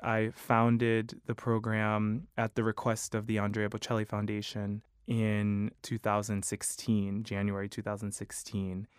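A man in his thirties, a choral conductor with a high baritone voice, giving measured, thoughtful interview answers. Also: completely flat delivery, smooth, no room sound, studio quality. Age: 30s. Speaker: choral conductor and music director.

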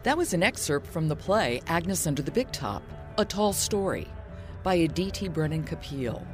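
A woman's voice: low-pitched, lively intonation, normal speed, music in the background. A woman host reading a scripted introduction to an arts interview. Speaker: radio host.

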